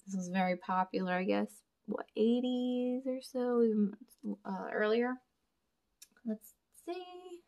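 Chatty woman with a creaky voice. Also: on the high side, slow, a little mellow. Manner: chatty, thinking aloud, talks to viewers. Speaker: storage unit reseller and vlogger. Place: indoors at a table. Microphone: camera over a table, hands only in frame.

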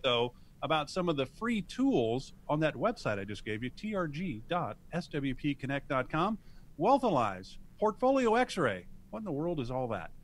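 A man's voice: medium pitch, fairly bright tone. Upbeat male radio co-host. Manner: upbeat announcer reading contact details. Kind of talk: radio talk show banter. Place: broadcast studio.

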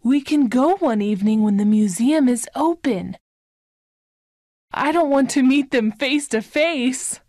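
A woman playing an earnest young female amateur detective in a storybook, speaking clearly. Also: sits high, measured pace, warm tone, no echo, studio quality. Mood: thoughtful, keen. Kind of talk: scripted audiobook dialogue.

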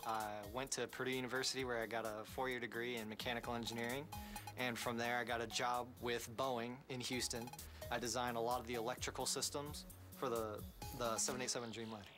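A man's voice: medium pitch, flat delivery, brisk. Earnest young male contestant, twenties, over music. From Texas. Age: 20s.

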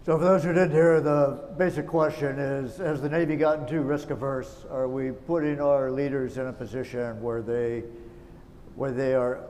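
A middle-aged moderator keeps the panel moving, briskly restating a question in a middle voice. There is hall reverb and a faint rumble around him. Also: male voice, a little mellow. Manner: brisk, orderly. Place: large auditorium stage.

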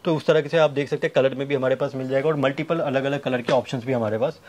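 A man in his thirties giving a sales pitch to camera, listing things with repetitive emphasis. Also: fairly even intonation, quick pace, a little mellow, lo-fi sound. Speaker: fabric manufacturer's representative presenting stock. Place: fabric showroom indoors. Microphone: clip-on wireless lapel mic.

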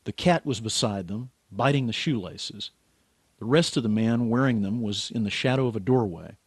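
A man's voice: on the low side, normal speed, breathy edge. A man playing a bitter, lovelorn, hard-drinking writer in a radio drama, brooding and stubborn. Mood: tense narration. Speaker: actor and narrator. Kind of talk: radio drama acting and first-person narration.

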